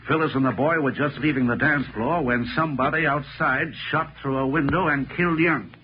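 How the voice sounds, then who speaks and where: An actor playing a stern, suspicious American small-town mayor, curt and accusing, in 1940s radio drama style. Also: male voice, a little high, steady tone, a little fast, lightly acted. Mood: stern, firm. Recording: dull, noisy old radio recording.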